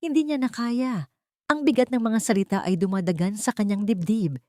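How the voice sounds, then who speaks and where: A woman's voice: fairly high pitch, brisk, a little mellow, dry recording, studio quality. A woman reading a dramatic story as an audiobook narrator.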